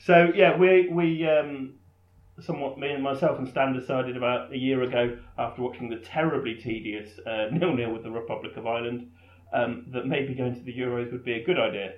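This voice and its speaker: A man's voice: mid-range voice, steady tone, brisk. A man in his thirties hosting a casual podcast from his front room, joking and teasing his friends. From England. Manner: jokey, teasing. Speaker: podcast host. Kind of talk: casual chat. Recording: roomy, dull lo-fi home recording.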